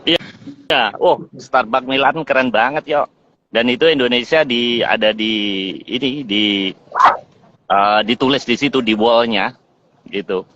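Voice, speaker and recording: A man telling an anecdote in an easygoing way as a guest on a video call. His voice sits at an ordinary pitch for a man. The call audio is dull and lo-fi. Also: unhurried, long pauses, a little hiss or hum. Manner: relaxed, easygoing storytelling. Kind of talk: casual video call chat.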